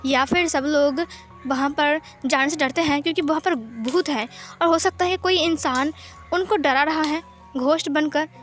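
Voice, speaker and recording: A woman's voice: sits high, normal speed, fairly bright tone, faint music behind. A woman voicing an anxious, curious young man in a dramatized story, questioning himself in repeated phrases. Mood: brooding, curious. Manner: stammering repetition, questioning himself aloud.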